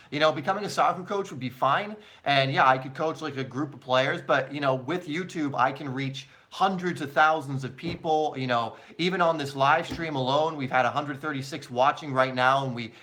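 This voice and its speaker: A man with a bright, forward voice at a medium pitch hosts a casual soccer live stream. He talks informally and chattily, addressing viewers directly like mates as he answers their questions. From born in England, long living in the United States.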